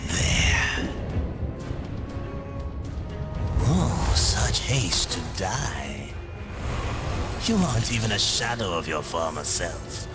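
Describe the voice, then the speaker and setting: Deep, slow, sly ancient demon. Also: male voice, heavily acted. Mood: mocking. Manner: slow, taunting, drawn-out delivery. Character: sly ancient demonic merchant hungry for souls, mocking, scheming. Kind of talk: theatrical fantasy game voice acting. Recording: loud music behind the voice.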